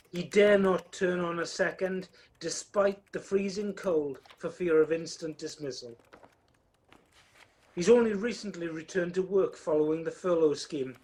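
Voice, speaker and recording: A man narrating a scripted radio play in a wry, measured storytelling manner. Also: fairly high pitch.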